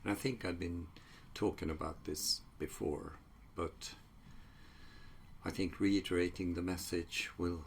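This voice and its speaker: A very deep, gravelly-voiced man whose first language is Swedish speaks slowly in a casual solo talk. He halts often with fillers and restarts. Faint noise sits under the recording. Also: steady tone. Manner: halting, many fillers and restarts. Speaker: psychology researcher.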